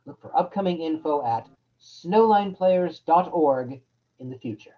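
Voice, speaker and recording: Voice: medium pitch, expressive, moderate pace, muffled recording. An announcer reading a scripted introduction to a radio-style show.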